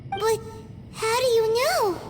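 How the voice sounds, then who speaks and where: A woman voicing a small, childlike fairy companion in an anime video game, squeaky, excitable and prone to exclaiming. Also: slow, background noise. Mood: alarmed. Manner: exclamatory, wide-eyed. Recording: loud music under the voice.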